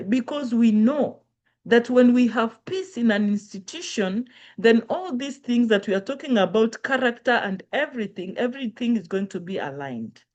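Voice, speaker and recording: Woman lecturer, earnest and emphatic, slightly high voice, repeats for effect. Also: darkish tone. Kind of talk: motivational online lecture.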